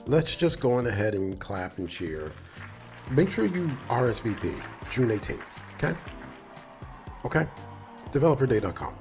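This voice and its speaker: A man's voice: medium pitch, big pitch swings, normal speed, pauses often, faint music behind. A man hosting a casual live stream, slightly nasal, talking enthusiastically and directly to viewers in a rambling way that repeats phrases. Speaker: live stream host, developer advocate.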